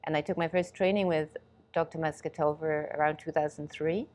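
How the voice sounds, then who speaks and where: A woman with a notably low voice, from Ghana and raised in England, speaks as an interview guest. She talks in a thoughtful, measured way and laughs softly now and then. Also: fairly even intonation. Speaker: guest, movement and reflex specialist. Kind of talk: interview answers.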